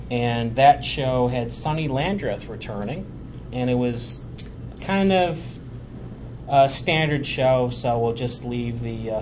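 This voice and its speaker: Chatty podcast host over background noise. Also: male voice, mid-range voice. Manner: conversational, hesitant with fillers, reads a prepared roundup. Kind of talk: casual podcast chat and show recap.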